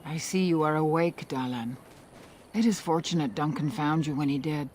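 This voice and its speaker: A woman playing a grave, commanding older elf healer who leads her clan in a fantasy game, speaking formally and with measured solemnity. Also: sits low, darkish tone, slight background noise, natural voice. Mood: grave, questioning. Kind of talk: fantasy game dialogue acting. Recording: music behind the dialogue. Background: background music.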